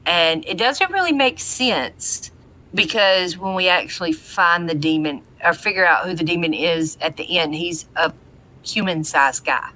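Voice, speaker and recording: A woman's voice: on the low side, animated, measured pace, pushed and bright. A woman co-hosting a podcast, recapping a TV episode in an informal, conversational storytelling way. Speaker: podcast co-host.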